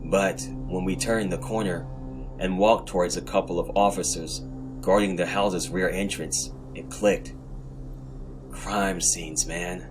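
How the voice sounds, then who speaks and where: A man's voice: deep voice, rough recording. A man narrating first-person horror fiction in a dramatic scripted style, as host of a story podcast. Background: music.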